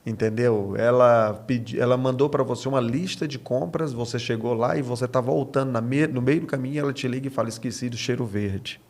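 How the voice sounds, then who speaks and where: A man's voice: mid-range voice, fairly quick, few pauses, a little mellow. A man with a Brazilian accent, a pastor and podcast guest, speaking conversationally in short phrases with frequent check-in questions. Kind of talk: conversational testimony.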